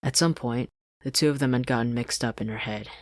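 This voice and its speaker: Story narrator reading fanfic, mid-pitched for the range, really dry close mic. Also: brisk, no room sound. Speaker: podfic narrator. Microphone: close studio-style desk microphone, dry.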